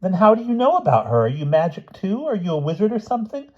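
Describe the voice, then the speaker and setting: A man's voice: high-pitched, sing-song, fast talker, muffled recording, voice pushed up. A man voicing an earnest, chatty young girl in a storybook, with eager, artless phrasing.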